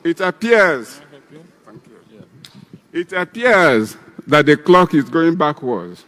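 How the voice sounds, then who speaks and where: A man's voice: fairly high pitch, sing-song, takes it slowly, a bit bright, long reverb tail. A man in his eighties with a Nigerian Igbo accent, giving a ceremonial speech as an elder breaking kola nut. He rambles and repeats himself. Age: 80s.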